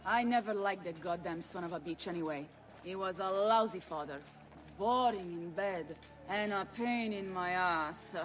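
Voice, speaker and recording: An actress playing a bold, sharp-tongued Italian noblewoman who rules a city, in a video game cutscene with an Italian accent. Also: on the low side, normal speed, lightly acted. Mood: scornful. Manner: brash, swaggering, salty-tongued.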